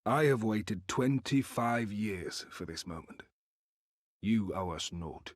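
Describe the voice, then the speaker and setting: An actor playing a grave, proud veteran warrior in a fantasy game, terse and formal. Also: male voice, lowish voice, animated, slow, no room sound, studio quality. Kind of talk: cinematic fantasy game voice acting.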